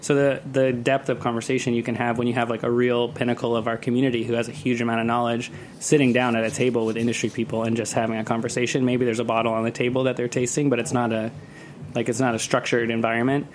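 A man's voice: mid-range voice, little pitch movement, fast talker, slight background noise. A man speaking as an interview guest, casually explaining his work in a chatty way with plenty of "like". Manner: chatty, uses "like" often. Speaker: guest, cocktail festival organizer. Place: radio studio.

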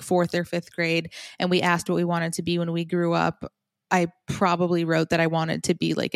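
A young woman, originally from Michigan, chats as a podcast guest. She tells her stories eagerly, full of "like"s, in a fairly low voice. The recording is close and dry, with no room sound. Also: no echo. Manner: chatty, enthusiastic storytelling with many "like"s. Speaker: podcast guest, cookbook author. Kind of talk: casual interview chat.

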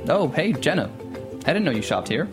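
A woman's voice: deep voice, big pitch swings, a little fast, music in the background. A woman performing a scripted, teasing radio promo skit. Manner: breezy, teasing. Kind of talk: scripted comedic promo dialogue.

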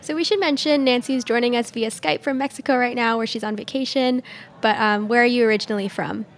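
A woman's voice: fairly high pitch, fairly even intonation, a little fast. A woman from Southern California with an American accent, hosting an interview podcast. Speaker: podcast host. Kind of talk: scripted intros and conversational interview.

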